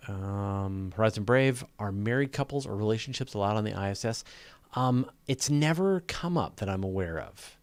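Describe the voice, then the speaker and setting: A man's voice: on the low side, animated, moderate pace, dry recording. A man hosting a casual live question-and-answer show, talking in an informal, joking, conversational way. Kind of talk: casual live Q&A chat with viewers.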